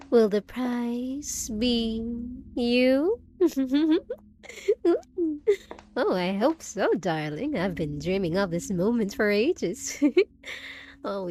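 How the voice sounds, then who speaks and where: A woman acts out an unhinged undead bride in an audio drama, with a fairly high voice and a slow, savoring delivery. She coos pet names with sweet, possessive menace over light background ambience. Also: a little mellow, a little hiss or hum. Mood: teasing, coy. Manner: drawn-out, cooing endearments with giggles and teasing questions. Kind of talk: scripted roleplay audio drama.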